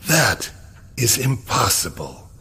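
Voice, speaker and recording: An actor playing a cold, controlled agency villain in a video game, a man speaking with deliberate menace. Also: mid-range voice, very animated, vocal fry, bright, forceful tone, husky, exaggerated voice.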